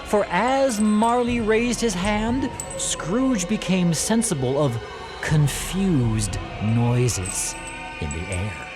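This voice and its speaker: A man narrating a classic story for an audio drama in a deliberate, expressive, theatrical way. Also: high-pitched, over music, exaggerated voice.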